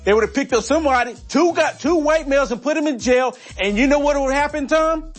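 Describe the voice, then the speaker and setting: High-pitched, emphatic talk show host. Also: male voice, soft background music. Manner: emphatic, rapid rhetorical questions.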